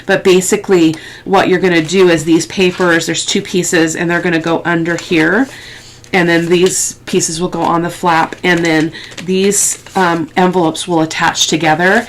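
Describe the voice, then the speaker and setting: A middle-aged woman with a head cold, sniffly, giving a casual craft tutorial and thinking aloud in a halting way as she works. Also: lowish voice, flat delivery, a little hiss or hum. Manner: unhurried, halting, thinking aloud while working. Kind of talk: casual, rambling craft process talk. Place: indoors at a craft table.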